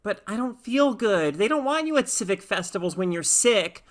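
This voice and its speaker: A woman's voice: mid-range voice, lively intonation, normal speed, voice pushed up, natural voice. A woman voicing a reluctant, sullen teenage girl with a whiny, pleading manner in a story reading. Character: reluctant, sullen schoolgirl of about fourteen.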